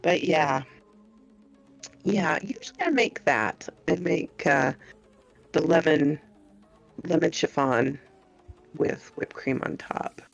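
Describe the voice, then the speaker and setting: A woman chats slowly and modestly on a podcast, often breaking into laughter. Her voice has a gravelly fry and swings widely in pitch. The stream audio is muffled, with faint music underneath. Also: animated. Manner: laughs often, hesitant, self-deprecating. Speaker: podcast guest, online story narrator. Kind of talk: casual chat.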